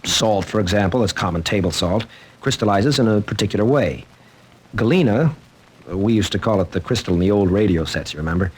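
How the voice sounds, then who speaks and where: Earnest, hesitant young-professor type. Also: male voice, fairly low pitch, expressive, a little mellow, breathy edge. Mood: explaining. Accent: American. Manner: halting, earnest. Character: troubled, hesitant male college teacher confiding in a friend. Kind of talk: 1940s radio drama acting.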